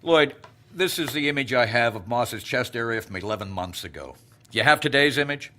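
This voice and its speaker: A man playing a pragmatic, anxious director of a secret research facility in an audio drama, speaking in measured, formal terms. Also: mid-range voice, animated, bright, forceful tone. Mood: pointed.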